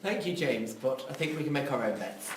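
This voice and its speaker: A man's voice: mid-range voice, expressive, fairly quick, slight background noise, close to an everyday voice. A man playing a prickly, sarcastic, condescending writer brother in an audio drama reading. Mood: dry, irritated. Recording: echoey room, distant microphone.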